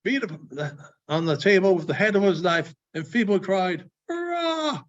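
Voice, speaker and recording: A man reading a classic story aloud in a theatrical, deliberate manner with dramatic pauses. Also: high voice. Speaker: librarian giving a public reading. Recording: dull, muffled lo-fi recording.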